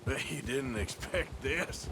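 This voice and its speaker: A man's voice: medium pitch, slow, background noise, lightly acted. An actor playing a weary, sardonic but loyal American outlaw gunman in a Western game, with a dry, laconic drawl. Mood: wry, reflective. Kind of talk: naturalistic Western game acting. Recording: loud music behind the voice.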